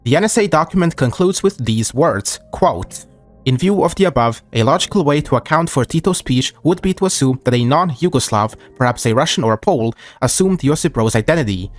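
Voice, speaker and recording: A man reading scripted history narration in a measured way, quoting documents aloud. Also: mid-range voice, lively intonation, soft background music.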